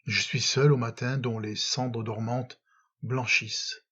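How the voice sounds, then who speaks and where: A man reciting love poetry in a dramatic, solemn and measured manner, with frequent pauses, on a slightly muffled recording. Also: mid-range voice, level tone, fairly bright tone, husky. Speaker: poetry reader.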